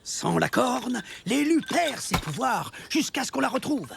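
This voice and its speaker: A stern, pompous prehistoric leader from a cartoon. The voice is very high and heavily creaky, swooping as it declaims over music. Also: male voice, sing-song, unhurried, noisy background, full character voice. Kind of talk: animated cartoon voice acting in French. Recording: music behind the voice.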